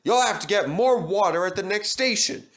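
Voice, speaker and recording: A man's voice: high voice, expressive, normal speed, fairly bright tone, pitched above the performer's own voice. A man playing a brusque, stubborn railway signalman with a curt, insistent manner.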